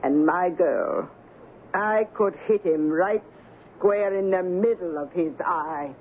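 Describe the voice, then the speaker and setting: A woman's voice: fairly low pitch, lively intonation, slow pace. An actress playing a fearless, haughty woman in her eighties, blunt and lecturing, in an old radio drama with a tinny, noisy sound. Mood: haughty, challenging. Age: 80s. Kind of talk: 1970s radio drama acting.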